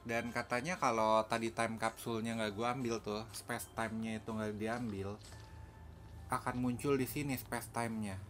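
A man's voice: mid-range voice, level tone, moderate pace, fairly bright tone. A man with an Indonesian accent giving casual commentary on a video game he is playing. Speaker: gaming video host. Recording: clear background noise, game ambience.

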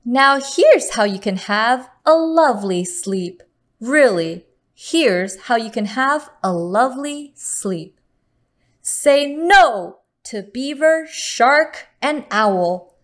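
Slow, higher-pitched woman reading a children's story. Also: expressive. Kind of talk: read-aloud picture book narration for children.

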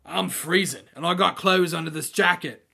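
A man voicing a gruff, brash, scruffy gang leader who talks in a blunt, sneering, impatient way for a story reading. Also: high voice, normal speed, sharp tone, heavily acted. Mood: grumbling.

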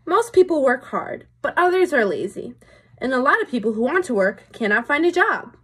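Young female teacher, picture-book read-aloud, very varied intonation, a little husky, speaks directly to young listeners. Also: high voice, big pitch swings, moderate pace, a bit bright. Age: young adult. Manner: questioning, explanatory, addressing young listeners. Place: small room indoors.

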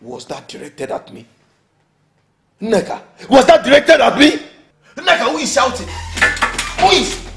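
A man's voice: fairly high pitch, very animated, moderate pace, long pauses, a bit bright. A man playing a domineering, hot-tempered middle-aged Nigerian family chief in a melodramatic film, given to indignant questioning. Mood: angry, scolding. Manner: indignant repeated rhetorical questions.

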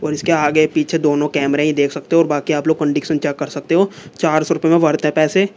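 A young man talks straight into a phone held close, chatting casually as a vlogger and leaning on the same filler words again and again. His voice sits a little high but stays flat and mellow. A faint hiss of the room runs under it. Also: a little fast. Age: young adult. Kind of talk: casual vlog talk to camera. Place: small room indoors, then a seller's home.

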